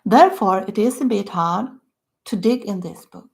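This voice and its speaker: Older Swedish woman with gravelly voice. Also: medium pitch. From Sweden. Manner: halting, slow-spoken, drops to soft near-whispers at phrase ends. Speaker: author. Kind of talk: self-recorded book talk.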